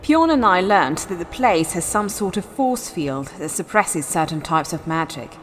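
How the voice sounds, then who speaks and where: A woman playing a sly, predatory female vampire lieutenant in a fantasy audio drama, expressive, confident and teasing. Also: lowish voice, moderate pace, a little hiss or hum, lightly acted. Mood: confident, informative.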